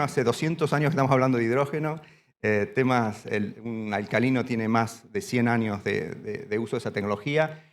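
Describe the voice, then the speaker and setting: A man from Argentina giving a standing conference presentation in a hall, halting and often restarting his phrases. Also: medium pitch. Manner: speaks standing up. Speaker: panel speaker.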